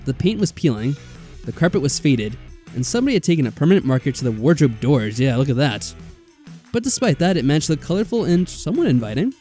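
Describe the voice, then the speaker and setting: A man's voice: a little high, big pitch swings, quick pace, dark tone. A man reading a visual novel aloud as a let's player, dropping in wry, exasperated casual asides. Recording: background music.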